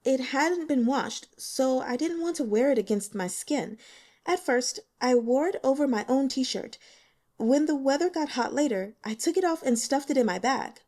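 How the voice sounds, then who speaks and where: Audiobook role: woman plays young female student, evasive, halting, easily panicked, high-pitched. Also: lively intonation, a little mellow. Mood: explaining. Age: young adult. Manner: hesitant, halting. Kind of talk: audiobook character dialogue.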